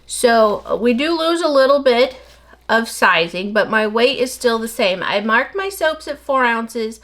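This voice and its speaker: A middle-aged woman soap maker with a slightly nasal voice, chatting casually and rambling as she works, talking fast without pausing for breath. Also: on the high side, lively intonation, a bit bright. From United States. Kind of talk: casual chat while working.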